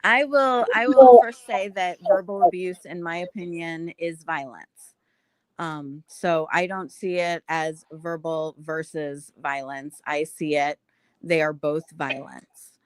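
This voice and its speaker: A woman speaking on a discussion panel, explaining her view in an earnest, measured way. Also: steady tone, darkish tone, smooth. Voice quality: self-described naturally high-pitched voice.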